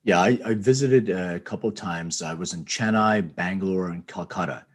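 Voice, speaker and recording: A man's voice: low-pitched, normal speed. A man hosting an interview podcast, talking in a friendly, rambling way with repeated words. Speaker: podcast host. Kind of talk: interview conversation.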